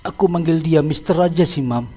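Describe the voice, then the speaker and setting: A man's voice: a little high, brisk, dark tone, faint music behind, lightly acted. A man voicing a frightened, deferential foreman who speaks hesitantly. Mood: nervous. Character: frightened male foreman, polite and nervous.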